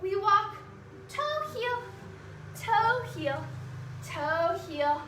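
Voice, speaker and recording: A woman's voice: extremely high pitch, big pitch swings, very slow, background noise. A young woman teaching a children's ballet class, instructing step by step in an encouraging way. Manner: encouraging, praising as they go. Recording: echoey room, distant mic, music behind.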